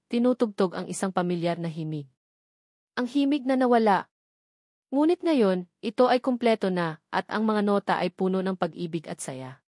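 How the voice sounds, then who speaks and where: Woman, story narrator, scripted romance narration, even measured reading, mid pitch, dry sound. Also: dry recording.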